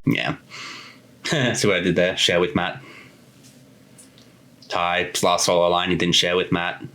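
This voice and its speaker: A man's voice: low-pitched, monotone, a little slow, pushed and bright. A man chatting casually as director and co-host of a creator commentary.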